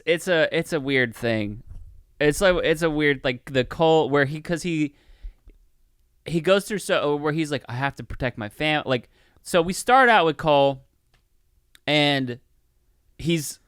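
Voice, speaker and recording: A man with a slightly high, clear voice chats as a podcast co-host in a dry, close recording. He rambles through a casual film review, swears freely and slips into mock dialogue to make his points. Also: unhurried, a bit bright, no room sound.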